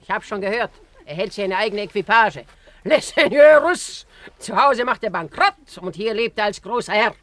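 Radio drama role for an actress: rich old matriarch, blunt, bossy, teasing, mid-pitched, repeats herself when she scolds. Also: lively intonation, normal speed, a bit bright, close to an everyday voice. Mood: brisk, mocking. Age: older. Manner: commanding, scolding, mocking, repeats words. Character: imperious, blunt, lively old Russian aunt, rich matriarch. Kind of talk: German radio drama acting.

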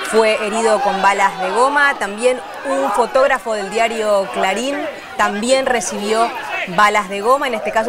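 A woman with an Argentine Spanish accent reporting news on television, in a clip with crowd noise behind her. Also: fairly high pitch.

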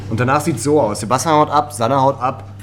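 An actor playing a pushy, suspicious young small-time dealer with coarse slang, in naturalistic radio drama. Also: male voice, medium pitch, fairly even intonation, fast talker, a bit bright, slight background noise, natural voice. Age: young adult.

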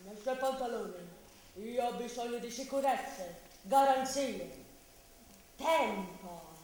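A woman playing a fussy, greedy, slow-witted master doing business in a commedia dell'arte show, querulous and exclamatory. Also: on the high side, darkish tone. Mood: greedy, wary. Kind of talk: improvised commedia dell'arte stage acting. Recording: echoey hall, distant microphone.

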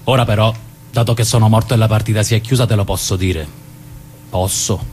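An actor playing a dead mobster, bitter and reflective, reproaching in a southern Italian accent in a stage drama. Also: male voice, fairly even intonation, brisk, darkish tone, faint music behind, lightly acted. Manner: bitter, confiding, profane. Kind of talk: dialect stage drama acting. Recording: noisy recording with low rumble.

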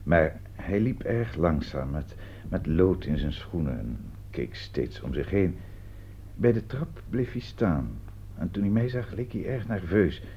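A genteel, confident gentleman, deep and deliberate, with a formal manner and varied intonation. The radio play recording is muffled with faint noise. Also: expressive. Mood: measured recounting. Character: genteel uncle, composed, confident male schemer. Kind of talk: radio play acting in Dutch.

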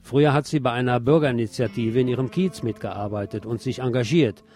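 A male radio presenter reads prepared news and commentary in a voice of average pitch. Music plays faintly behind him as he goes through the script. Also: fairly quick, a little mellow.

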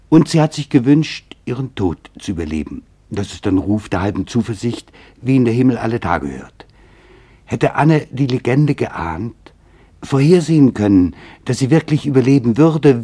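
A man narrating a scripted literary radio documentary in a solemn, deliberate, formal manner, with a husky voice. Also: mid-range voice, mellow.

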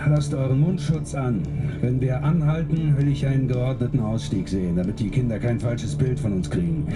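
A man's voice: medium pitch, close to an everyday voice. A man as a strict, commanding sergeant. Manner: strict, clipped instructions. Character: brisk, commanding male sergeant leading a student group. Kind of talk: radio play acting. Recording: loud music behind the voice.

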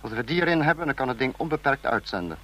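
Imperious cold dictator, radio drama. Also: male voice, mid-range voice, expressive, racing, fairly bright tone, a little hiss or hum, low-quality recording. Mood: stern, businesslike. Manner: clipped, imperious, lecturing. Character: cold authoritarian male supreme leader of a fictional police state. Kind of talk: old radio play acting.

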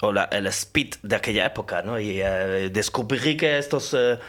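A man's voice: lowish voice, normal speed, fairly bright tone, lightly acted. A man voicing a laid-back, cheeky German professor, hesitant and full of ums, in a comedy radio sketch. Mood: relaxed.

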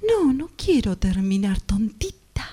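A woman's voice: medium pitch, very animated, unhurried, dark tone, slightly rough. A woman with an Argentine accent acting an affectionate, reassuring partner in a radio drama exercise. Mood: coaxing, tender. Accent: Argentine Spanish. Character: affectionate, reassuring woman in a ten-year relationship.

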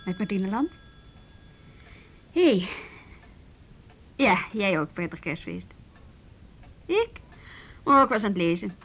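A woman acting a reluctant but sympathetic friend on a phone call in a radio play, soothing and pausing between remarks. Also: medium pitch, fairly bright tone, heavily acted.

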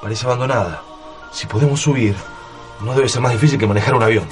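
A man's voice: medium pitch, fast talker, natural voice. An actor playing a resolute, protective father in a radio drama, speaking in an urgent, commanding way. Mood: determined, reassuring. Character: resolute, protective father leading his family through an invasion. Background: dramatic music and sound effects.

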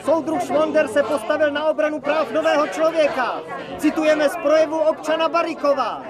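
A street newspaper seller,, crying headlines in loud, very high hawking calls. A dense crowd clamors behind him in this radio play scene. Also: male voice, fairly even intonation.

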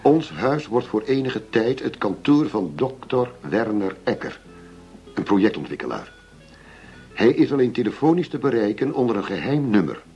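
An actor playing a middle-aged police chief going undercover in a radio play, persuasive and matter-of-fact. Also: male voice, fairly low pitch, darkish tone. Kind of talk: Dutch radio play acting. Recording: dull lo-fi radio play recording.

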